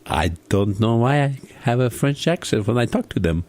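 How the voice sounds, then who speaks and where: A man's voice: medium pitch, expressive, normal speed, darkish tone, no echo. A man hosting a playful comedy radio show from a studio, interviewing a guest in a mock-serious way with leading questions.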